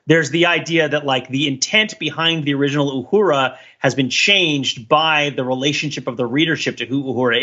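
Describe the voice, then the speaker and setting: Bright, forceful man thinking aloud. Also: medium pitch, lo-fi sound. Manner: discursive, thinking aloud, hedging with many asides. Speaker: podcast co-host. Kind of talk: casual panel discussion, analytical.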